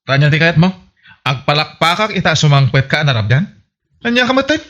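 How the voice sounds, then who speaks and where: A man's voice: on the high side, big pitch swings, fairly quick, a bit bright. A man playing an exasperated, sarcastic, nagging husband in an Ilocano radio comedy, grumbling and scolding in an Ilocano Filipino accent. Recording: muffled lo-fi, faint music behind.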